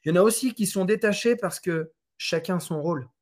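A man from France with a fairly high voice answers interview questions in relaxed conversation. He hesitates a lot, filling gaps and restarting his sentences as he explains. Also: fairly quick. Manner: hesitant, many fillers and restarts. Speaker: Trackman representative on golf tours.

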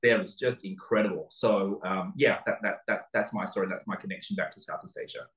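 A middle-aged Australian man answering interview questions in a halting, reflective, conversational way. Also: low-pitched, steady tone, a bit bright. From Sydney, Australia. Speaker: interview guest, venture capital investor. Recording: roomy, echoing sound.

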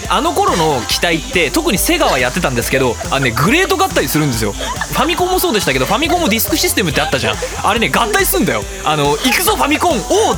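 A middle-aged man hosting an internet radio show, chatting casually and comically in a geeky ramble and acting out quotes as he goes. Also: sits high.

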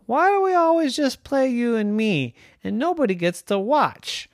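A storyteller voicing an eager talking puppy that plays tennis, in a high excited voice that turns whiny when upset. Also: normal speed, no room sound, studio quality.

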